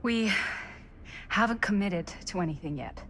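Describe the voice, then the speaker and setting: A guarded, sceptical character in a cinematic game. Her medium-pitched voice is bright and measured, slightly hesitant, with faint ambience behind it. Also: lively intonation, a little hiss or hum. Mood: guarded, apologetic. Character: guarded, sceptical, level-headed woman. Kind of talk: cinematic video game acting.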